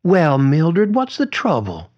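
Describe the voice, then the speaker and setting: A man voicing a gentle, cheerful middle-aged woman who works as a care-home aide, coaxing and folksy, in an audiobook. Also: a little high, big pitch swings, measured pace, dull audio, pitched above the performer's own voice. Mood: gentle, consoling. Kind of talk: audiobook character dialogue.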